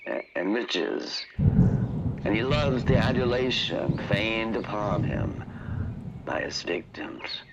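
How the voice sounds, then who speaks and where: A man narrating a fantasy tale in radio-theatre style, grandiose and deliberate, with long pauses. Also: fairly low pitch, animated, highs cut off, heavy background noise. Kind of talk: dramatic scripted fantasy storytelling, old radio theatre. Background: music under the narration.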